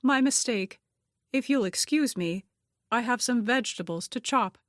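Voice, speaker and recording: A woman's voice: fairly high pitch, animated, normal speed, dry recording, studio quality, pitched above the performer's own voice, natural voice. A woman voicing a startled, hurt and guarded younger woman in an audiobook, apologetic and clipped in manner.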